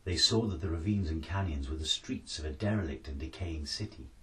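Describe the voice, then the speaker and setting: A man's voice: rumbling low, brisk, far from the mic. A man reading an adventure story aloud as a dramatic scripted narration. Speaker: author-narrator reading his book.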